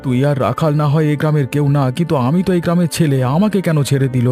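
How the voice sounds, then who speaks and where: A man's voice: on the high side, rapid-fire, warm tone, faint music behind, close to an everyday voice. A man playing an earnest, uneasy young visitor to a haunted village in a horror cartoon. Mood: puzzled. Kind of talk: scripted Bengali horror cartoon acting.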